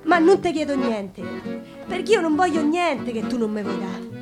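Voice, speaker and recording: A woman's voice: high voice, over loud music. An actress playing a passionate, plain-spoken working-class woman with a Roman accent, recalling her love in an intimate theatrical monologue. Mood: earnest, insistent. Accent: Roman Italian. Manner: intimate, emotional storytelling with expressive pauses. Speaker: stage actress.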